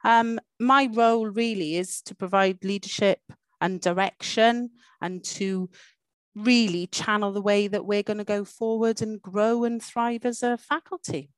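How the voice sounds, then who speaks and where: A middle-aged woman with a Welsh accent, answering interview questions as a university dean in a measured way with some hesitant fillers. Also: mid-range voice, fairly even intonation, clean tone, no room sound. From Wales.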